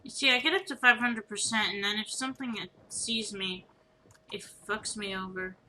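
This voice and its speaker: A woman's voice: mid-range voice, moderate pace, noisy background. A woman playing a game while on voice chat, talking bluntly and swearing freely. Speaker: gamer on voice chat. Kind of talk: casual gaming chat.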